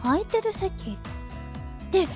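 A woman playing an excitable, self-mocking teenage schoolgirl who narrates her own flustered thoughts in a radio drama. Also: very high voice, music in the background, heavily acted. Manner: flustered inner monologue, quick swings. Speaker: narrator and lead character.

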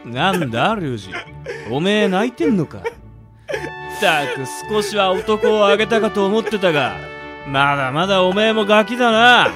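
A man playing a gruff, easygoing middle-aged gangster boss with a rough, drawling way of talking in an amateur radio drama. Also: sits high, animated, moderate pace, music in the background. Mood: amused, fond.

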